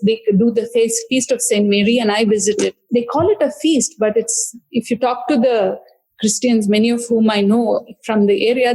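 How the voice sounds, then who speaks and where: A woman author, talking through her book in a thoughtful, halting way with many fillers and restarts. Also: on the high side, warm tone, rough recording. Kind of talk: conversational book discussion.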